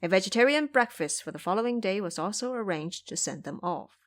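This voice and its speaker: Woman storyteller, medium pitch, scripted dramatic narration for an audio drama. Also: expressive, a little fast.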